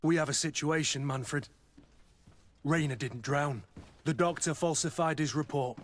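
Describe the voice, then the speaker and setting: An actor playing a hardened, protective army captain in a video game, talking in a terse, blunt way. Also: male voice, a little high, measured pace, lightly acted. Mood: urgent, demanding. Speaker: soldier, captain. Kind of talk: dramatic video game acting.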